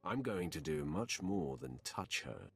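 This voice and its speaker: An actor voicing a cold, menacing Sith warrior in a video game, curt, mocking and self-assured. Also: male voice, fairly low pitch, huge pitch swings, a little slow, vocal fry, husky, full character voice. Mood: cold mockery. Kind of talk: scripted video game acting. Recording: music behind the voice.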